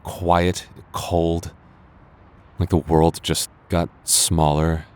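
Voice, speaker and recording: A man acting a stubborn but regretful young man in an intimate boyfriend roleplay, speaking in a halting, earnest way with stammers and sighs. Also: deep voice, level tone, a little mellow, clear, resonant tone. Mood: exasperated, earnest. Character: stubborn, regretful young man confessing love to childhood friend.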